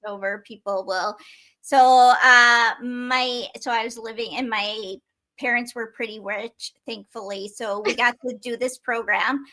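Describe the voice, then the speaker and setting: Woman, podcast co-host, high and bright, relaxed storyteller, filler-heavy. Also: unhurried, rough recording. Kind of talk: casual chat.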